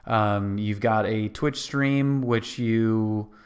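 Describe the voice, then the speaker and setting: A man's voice: lowish voice, very slow. Casual male podcast host. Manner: friendly, brief affirmations and recap questions. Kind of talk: interviewer, casual chat.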